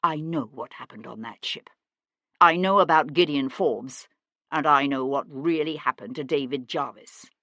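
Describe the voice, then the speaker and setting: A woman voicing a young gentleman investigator in an audiobook, sardonic and hard-edged, with a clipped manner. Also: low voice, normal speed, no room sound. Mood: cold anger.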